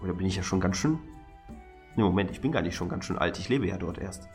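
A man's voice: low-pitched, animated, fast, darkish tone, faint music behind. A man co-hosting a podcast, chatting casually with self-deprecating comic banter and stammered repetitions. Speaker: podcast co-host.

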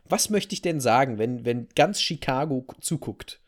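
A man's voice: medium pitch, animated, moderate pace. A man co-hosting a casual podcast, speaking in an emphatic way and repeating himself for stress. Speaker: podcast co-host.

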